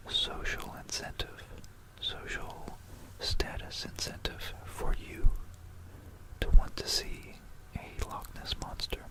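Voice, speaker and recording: Slow, whispering ASMR storyteller. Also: low-quality recording. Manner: hushed, unhurried, repeating words and pausing mid-phrase. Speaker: ASMR presenter. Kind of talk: whispered ASMR history narration with commentary. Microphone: close stereo microphone for binaural ASMR.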